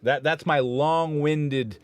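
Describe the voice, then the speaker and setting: A man's voice: a little high, fairly even intonation, slow pace, clear, resonant tone, no room sound. A man casually chatting about studio gear on a live stream, rambling and self-correcting as he talks. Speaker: studio engineer co-host.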